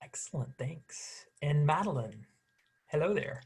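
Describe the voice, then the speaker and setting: A man's voice: a little high, expressive, a little slow, gravelly, slightly rough. A man, a church minister, hosting a recorded conversation with young volunteers, speaking hesitantly with many ums and restarts.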